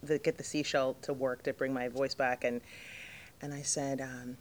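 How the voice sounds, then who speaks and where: A middle-aged woman with a low voice, jet-lagged, chatting in an interview as the guest actress, rambling with lots of fillers and restarts. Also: flat delivery, moderate pace. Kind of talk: casual interview chat.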